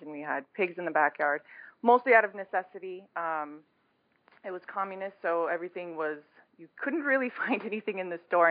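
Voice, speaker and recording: On a thin, phone-like connection with a dry, close sound, a woman from Romania speaks as an interview guest. She tells personal stories in a casual, conversational style. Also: fairly low pitch. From born in Romania, moved to the United States aged seven. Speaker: podcast guest, small business co-founder. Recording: tinny, phone-like line with little echo.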